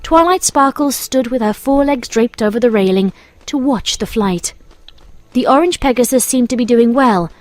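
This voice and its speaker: A woman's voice: a little high, animated, normal speed. A woman reading scripted storybook narration as the narrator.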